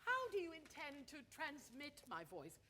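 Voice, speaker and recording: A haughty, illustrious opera diva in a period radio play. Her high voice moves in wide swoops, grand and full of disdain. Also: wildly swooping pitch, moderate pace, sharp tone, heavily acted. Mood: haughty, indignant. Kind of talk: period radio drama acting.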